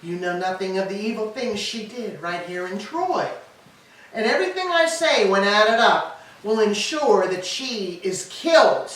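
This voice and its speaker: A woman's voice: mid-range voice, animated, measured pace, close to an everyday voice. A woman playing a grieving, vindictive old Trojan queen in a staged tragedy reading, declaiming solemnly and fiercely. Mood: urgent, vindictive. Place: church, live staged reading. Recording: echoey church, distant mic, faint noise.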